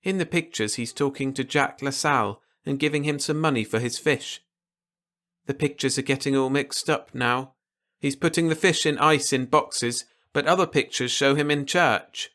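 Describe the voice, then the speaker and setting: An innocent little storybook boy, acted by a man, trusting and earnest. He talks in simple, naive phrases. His voice is bright and forward. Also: medium pitch, normal speed, voice pushed up, natural voice. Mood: earnest, straining.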